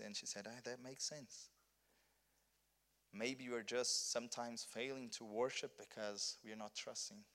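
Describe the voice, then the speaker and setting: A man with a Brazilian accent preaches a conference sermon in English, his second language. He is earnest and keeps restarting sentences and repeating words. His voice sits at an ordinary middle pitch. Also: smooth. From southern Brazil. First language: Portuguese. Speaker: pastor.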